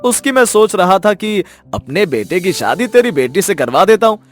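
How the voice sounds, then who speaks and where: A man voicing an earnest, warm-hearted middle-aged father persuading his friend, with music behind. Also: sits high, very animated, moderate pace.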